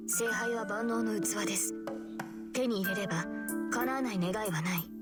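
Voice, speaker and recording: Woman playing resolute young swordswoman, anime visual novel, formal, composed, medium pitch, quick speech, loud music behind. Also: lightly acted. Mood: formal, guarded. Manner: formal, measured politeness. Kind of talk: anime game voice acting.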